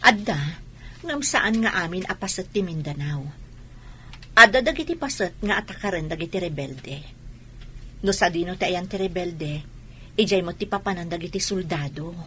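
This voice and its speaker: A woman's voice: on the low side, expressive, normal speed, sharp tone, a little hiss or hum. A woman playing a patient, wounded wife and mother in a radio drama, speaking earnestly. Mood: reassuring.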